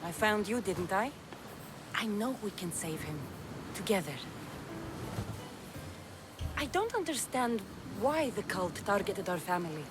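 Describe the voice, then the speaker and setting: A woman's voice: mid-range voice, sing-song, measured pace, long pauses, background noise. A woman playing a fierce, earnest young Greek mercenary and protective daughter in a dramatic video game. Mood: defiant, earnest.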